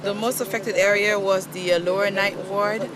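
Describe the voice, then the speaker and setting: A man originally from Haiti speaks in a fairly high voice, polite and measured, with small hesitations. He is interviewed outdoors on a street site, with a loud crowd going on behind him. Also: little pitch movement, rough recording. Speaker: site inspector.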